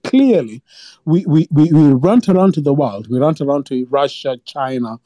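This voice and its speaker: Halting, dark-voiced caller man. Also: fairly high pitch, animated, no room sound, lo-fi sound. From Africa. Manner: halting, many repeated words and restarts. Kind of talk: impassioned phone-in opinion.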